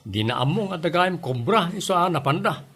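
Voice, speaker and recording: A man acting an earnest village fellow, cautioning and exasperated. He speaks in a high-pitched voice with an Ilocano Filipino accent, in comedy audio drama style. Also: expressive, fairly quick, a bit bright, slightly rough, heavily acted.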